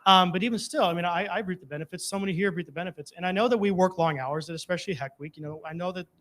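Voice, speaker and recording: A man with a somewhat high voice gives an informal testimonial to a live audience. He talks very quickly and in a chatty way. His manner moves between joking and earnest. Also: fairly bright tone. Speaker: guest speaker, alumnus performer of the theater.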